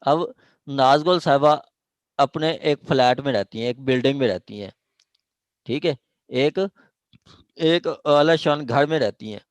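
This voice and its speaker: A man's voice: on the high side, dry recording. A man with a Pakistani accent giving an informal law lecture, explaining step by step and repeating his phrases.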